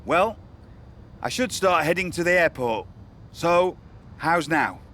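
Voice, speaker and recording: A man playing a smooth-talking, evasive wrestling show manager in a video game cutscene. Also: fairly high pitch, expressive, slow, a bit bright, slight background noise.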